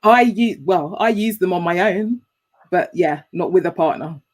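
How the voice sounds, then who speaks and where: A woman's voice: on the low side, moderate pace. A woman, a guest on a video call, speaking frankly and laughing at herself in casual chat.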